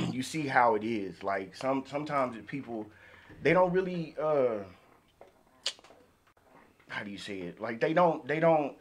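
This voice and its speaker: A man chatting casually on a podcast in colloquial speech, halting and repeating himself as he goes. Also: mid-range voice, expressive. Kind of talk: casual chat.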